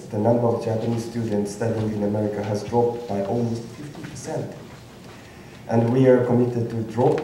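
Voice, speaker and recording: A man moderating a conference panel, speaking haltingly with many fillers and restarts. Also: on the low side, mellow, a little hiss or hum. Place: large conference room. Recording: echoey hall, distant mic.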